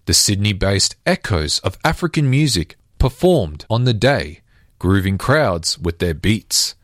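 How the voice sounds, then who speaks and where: A man's voice: lowish voice, sing-song, a little slow, a bit bright, no echo. Man reporter, dry close voice. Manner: reads intro evenly, asks loosely with fillers. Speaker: reporter, interviewer. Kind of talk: scripted intro and casual questions.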